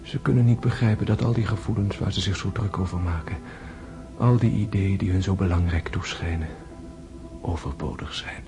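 An actor playing a dying wounded man in a radio drama, calm and detached, speaking in a hushed, halting, meditative way. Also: low voice, music in the background. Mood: resigned. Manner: slow, hushed, pensive, halting.

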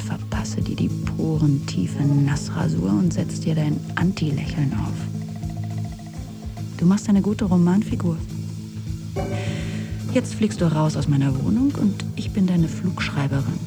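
An actress playing an intense, obsessive woman writer, speaking an intimate, deliberate, breathy monologue in a radio play. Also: low-pitched. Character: intense, obsessive woman writer narrating her man into being. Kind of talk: poetic second-person monologue in a radio play. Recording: loud music behind the voice. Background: loud instrumental music.

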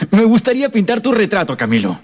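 Suave painter, very animated, reverberant. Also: male voice, high-pitched, normal speed, slightly rough, full character voice. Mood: eager, friendly. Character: confident peasant-born painter, strong, sensual, hearty old friend. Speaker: radio drama actor. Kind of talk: radio drama acting.

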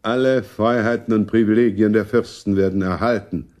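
A man playing a scheming, self-satisfied older prince in a radio drama, with a husky voice. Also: lowish voice, fairly even intonation, moderate pace, darkish tone, lightly acted. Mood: firm, commanding. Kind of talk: German radio drama acting.

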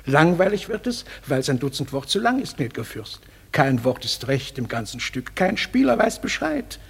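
An actor playing a dutiful courtly master of revels in a classic radio play, speaking in a deferential way. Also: male voice, on the high side, big pitch swings, moderate pace, creaky, slightly rough, in a large hall, exaggerated voice. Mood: wry. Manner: deferential, explaining. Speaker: Philostrat.

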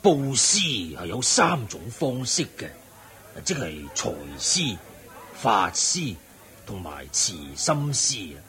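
Brief: venerable old teacher, unhurried, expressive intonation, Hong Kong Cantonese radio drama. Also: male voice, mid-range voice, exaggerated voice. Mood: gently admonishing. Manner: measured, didactic. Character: wise, kindly, venerable old lay Buddhist sage.

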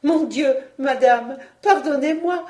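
Fragile storybook girl , voiced by a woman: apologetic, easily distressed, raised pitch, roomy sound. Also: takes it slowly, a little mellow. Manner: pleading, exclaiming. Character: frightened, apologetic girl in despair, named Marguerite.

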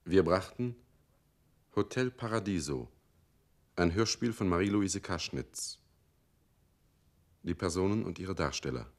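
A man formally announcing the title and cast of a radio play in a deliberate, list-like reading. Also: deep voice, lively intonation. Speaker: credits announcer.

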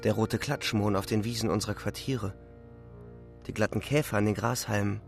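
A man playing a weary, despairing young German soldier, narrating gravely and deliberately in a literary radio play. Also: on the low side, steady tone, over music. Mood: weary, reflective. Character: twenty-year-old German soldier, weary, despairing, reflective.

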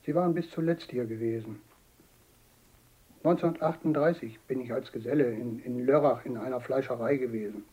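An actor playing an elderly butcher giving blunt, matter-of-fact eyewitness testimony in a documentary radio drama, with a husky voice. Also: male voice, mid-range voice, brisk, darkish tone, natural voice, poor audio.

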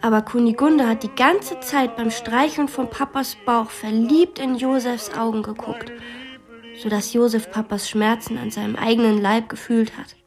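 A woman's voice: a little high, steady tone, moderate pace, faint music behind, natural voice. A woman acting in a radio drama as an imaginative, bossy young girl who narrates a macabre game in an earnest storytelling manner. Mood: hushed, macabre.

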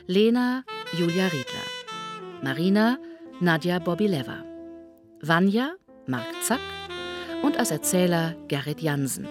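A woman's voice: on the low side, sing-song, a little slow, pauses often, over music. A woman announcer reading scripted credits for a broadcast radio play.

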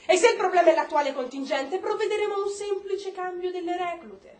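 An actress playing an icy, commanding government secretary in a radio play, speaking formally and cuttingly. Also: female voice, extremely high pitch, brisk, darkish tone. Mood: angry, commanding. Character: icy, commanding American government secretary, woman, rebuking an officer. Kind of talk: stage-style radio drama acting. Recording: roomy echo, faint noise.

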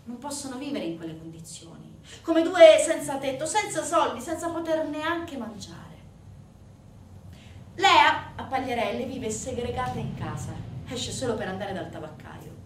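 Female stage storyteller, highish register, dramatic swings in volume, faint noise in the recording. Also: very animated, moderate pace, reverberant, far from the mic. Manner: intense, emphatic, swinging from hushed to loud. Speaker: stage actress narrating a monologue.

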